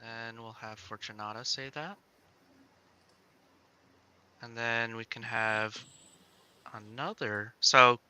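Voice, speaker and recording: Guy demoing game dev software, super bright clean voice, slow-ish, thinking out loud step by step. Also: medium pitch, clean tone, no echo. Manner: explains step by step, thinking aloud. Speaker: game developer demonstrating a tool. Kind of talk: casual software demo with joking chat.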